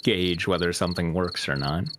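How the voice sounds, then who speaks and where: A man's voice: sits low, moderate pace, background noise. A man chatting casually as one of the readers on a fanfiction show. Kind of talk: casual chat.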